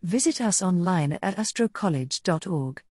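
A woman's voice: fairly low pitch, lively intonation, moderate pace, darkish tone, breathy edge, dry recording, studio quality. A woman reading a short, scripted channel announcement. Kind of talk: recorded channel intro.

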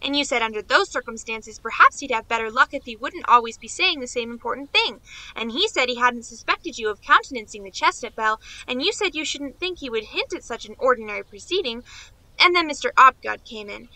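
A woman's voice: a little high, lively intonation, fairly quick, sharp tone, no room sound, rough recording. A woman playing a naive, eager young niece in a radio play, chattering on in rambling run-on sentences. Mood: eager, chatty.

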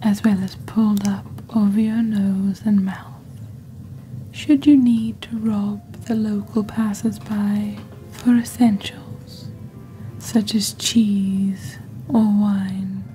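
A young woman presenting products in a soft, breathy, near-whispered ASMR delivery, deliberate and scripted, close to the microphone. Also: mid-range voice, little pitch movement, faint music behind, rough recording. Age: young adult. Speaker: ASMR host playing a shopping channel presenter.

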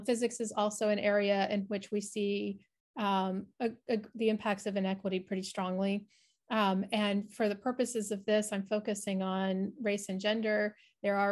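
A middle-aged woman, a researcher explaining her work in an online presentation over a video call. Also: medium pitch. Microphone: video call, webcam framing.

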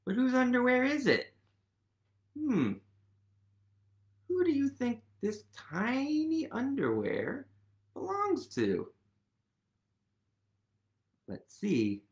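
A man in his thirties reading a picture book aloud to young children, expressive and full of questions for his listeners. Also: very high-pitched, takes it slowly, pauses often. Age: 30s. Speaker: host, museum literacy educator reading aloud. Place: indoors, small quiet room at home.

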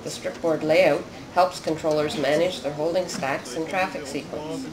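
A narrator reading a scripted research demonstration in a measured, explanatory way. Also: medium pitch, steady tone, a crowd in the background. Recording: roomy echo with noticeable background noise.

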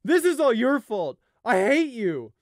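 Roaring, raw young sheep brother. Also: male voice, very high-pitched, very animated, a little slow, no room sound, studio quality, pitched above the performer's own voice, exaggerated voice. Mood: furious, desperate. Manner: roaring, growling, choked with sobs. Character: big young male sheep, fierce grieving older brother. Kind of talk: storybook character acting.

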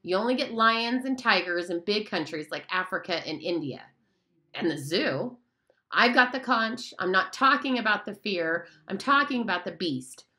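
A swaggering boy who bosses everyone and sneers at the little ones, as a woman reading the story puts him on. His bright voice shoots up in pitch as he blusters. He sounds contemptuous and sure of himself. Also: lively intonation, moderate pace. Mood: scornful. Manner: scornful, blustering. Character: bossy boastful boy hunter leader.

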